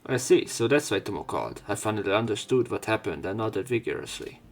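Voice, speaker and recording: A man's voice: lowish voice, animated, quick pace, faint music behind. Young guy doing a visual novel playthrough, reads the text, punches up the dialogue. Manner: reads narration plainly, jumps up louder for the hero's lines. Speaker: let's play narrator. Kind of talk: reading a visual novel aloud.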